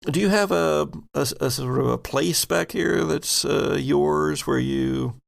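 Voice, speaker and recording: A man improvising narration as game master of a tabletop game, descriptive and halting, with pauses mid-sentence. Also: a little high, creaky.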